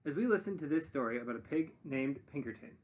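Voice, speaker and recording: A man narrating a children's story expressively as a read-aloud teacher, pausing to muse and put questions to listeners. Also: mid-range voice. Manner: animated, pausing to muse and prompt.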